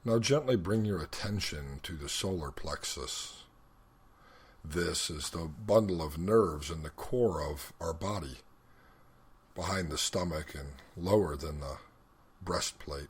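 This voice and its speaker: A man leading a guided meditation as an instructor, speaking in a measured, instructional way with deliberate pauses. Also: low-pitched, breathy edge.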